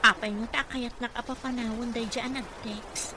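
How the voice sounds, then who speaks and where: A woman's voice: on the high side, steady tone, moderate pace, close to an everyday voice. An actress with an Ilocano accent playing a devoted, respectful female physical therapist in a radio drama. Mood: concerned, inquiring. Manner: earnest, respectful. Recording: noisy radio drama recording.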